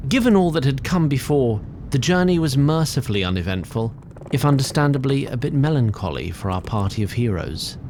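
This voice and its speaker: A man's voice: mid-range voice, very animated, fairly quick, a little mellow, slight background noise. A man playing a chatty tree sprite narrator of a fantasy audio drama, formal and wry with fussy asides. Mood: measured, wry. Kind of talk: theatrical scripted fantasy narration.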